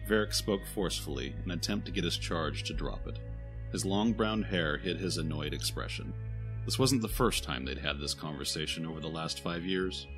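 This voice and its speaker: A man's voice: low-pitched, normal speed, a bit bright. A man reading scripted narration for a fantasy audio drama. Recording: music behind the narration.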